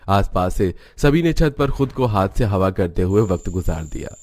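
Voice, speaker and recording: A man's voice: deep voice, animated, normal speed, a little mellow. A man narrating a scripted cartoon village story.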